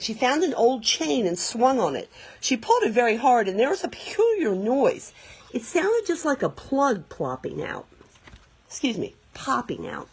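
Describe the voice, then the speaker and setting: A woman reading a children's picture book aloud expressively, pausing to explain things to young listeners. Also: a little high, husky. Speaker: read-aloud storyteller.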